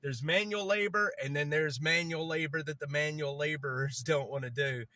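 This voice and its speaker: A man's voice: fairly high pitch, normal speed, pushed and bright, no echo. A man with a stutter, chatting casually on a livestream from a city street while moving around, rambling and repeating himself.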